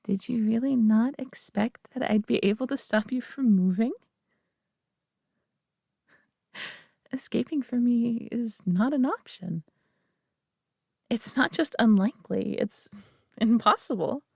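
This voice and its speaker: A woman playing a prideful, possessive alien being in a roleplay audio drama, talking down to the listener with coaxing, deliberate care and dropping into whispers. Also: fairly high pitch, creaky, no echo, clean studio sound. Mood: commanding. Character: prideful, possessive female superior alien being, condescendingly caring.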